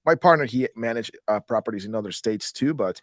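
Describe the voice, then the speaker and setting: A man's voice: mid-range voice, lively intonation, brisk, no room sound, clean studio sound. A man in his thirties from Guatemala, a guest in a podcast interview, talking in a loose, rambling way with many restarts. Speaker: guest, real estate investor.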